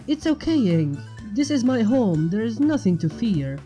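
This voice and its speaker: A woman's voice: on the high side, very animated, normal speed, warm tone, faint music behind. A woman voicing an earnest, uneasy young female dragon who is easily irritated, in a fan fiction reading. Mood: reassuring.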